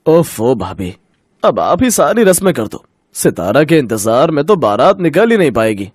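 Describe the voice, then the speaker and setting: A fretful middle-aged cartoon father, played by a man, with a somewhat high voice. He speaks emphatically and with exasperation, his pitch moving freely. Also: sing-song, normal speed, no echo, studio quality. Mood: impatient. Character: worried middle-aged husband and father, proud, exasperated shopkeeper. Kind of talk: Hindi cartoon moral story acting.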